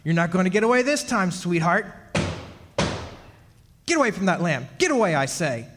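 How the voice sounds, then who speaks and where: Man acting a muttering, high-pitched gloating husband. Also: very animated, moderate pace, noisy background. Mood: nervous, taunting. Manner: talks to himself, mock-sweet endearments. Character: muttering, gloating husband hunting his witch wife. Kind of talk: 1940s-style radio play acting. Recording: echoey hall, music behind.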